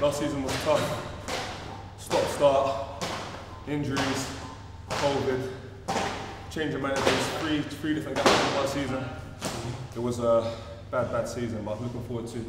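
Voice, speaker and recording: Young footballer, plain answers, mid voice, loud clanky gym, echoey and far from the mic. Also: male voice, medium pitch, little pitch movement, moderate pace, long pauses, heavy background noise. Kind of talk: casual interview. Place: indoor gym.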